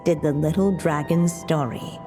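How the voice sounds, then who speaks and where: A woman voicing a gentle, loving witch mother telling a storybook tale, tender and solemn, in a video game. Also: low-pitched, steady tone, moderate pace, over music, lightly acted. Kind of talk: slow storybook narration, game voice acting. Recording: long reverberant hall effect.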